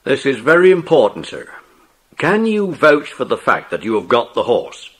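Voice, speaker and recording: An actor playing a brisk, jovial police inspector with a husky voice and a hearty, avuncular manner in a children's audio drama. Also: male voice, mid-range voice, huge pitch swings, fairly bright tone, full character voice. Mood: brisk, businesslike.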